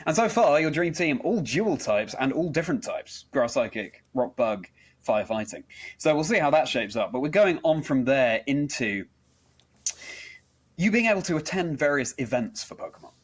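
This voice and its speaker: A man's voice: mid-range voice, big pitch swings, normal speed, husky. Man hosting an interview with formal phrasing. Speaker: interview host.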